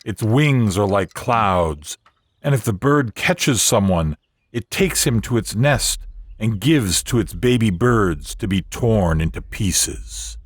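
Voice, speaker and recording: The Sun, grave all-knowing brother in a storybook cartoon, male actor. Very low register, deliberate, foreboding delivery. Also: expressive, slightly rough, no room sound, exaggerated voice. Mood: solemn warning. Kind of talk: children's storybook cartoon voicing.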